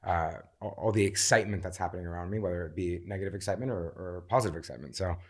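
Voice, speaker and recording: A man in his thirties, a podcast guest, speaking in a reflective, measured way. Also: sits low, husky. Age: 30s. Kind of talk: casual podcast chat.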